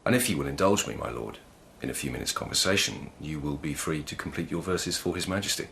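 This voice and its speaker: An actor playing a polite, persistent police lieutenant of humble birth, measured and deferential yet probing, in a radio drama. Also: male voice, rumbling low, gravelly, sharp tone, a little hiss or hum, heavily acted.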